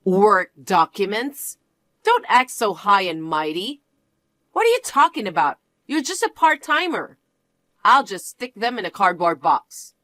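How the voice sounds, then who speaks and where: A woman's voice: mid-range voice, wildly swooping pitch, a little slow, sharp tone, no room sound, heavily acted. A woman voicing a haughty, domineering mother-in-law who taunts her son's wife. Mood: venomous. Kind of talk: one-voice drama reading.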